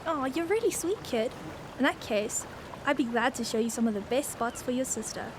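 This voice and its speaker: A woman acts a friendly fisherwoman guide in a radio drama. She has a high-pitched voice and a breezy, reassuring manner, with clearly audible running water and ambient noise around her. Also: expressive, moderate pace. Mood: kind, hospitable. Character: friendly, easygoing fisherwoman and generous local guide.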